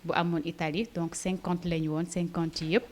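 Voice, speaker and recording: A young woman from Senegal, a model, chatting in a relaxed interview with frequent fillers. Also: on the low side, moderate pace. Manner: chatty, laughs easily, hesitant fillers. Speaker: fashion model, interview guest. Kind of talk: casual interview, mixing Wolof and French.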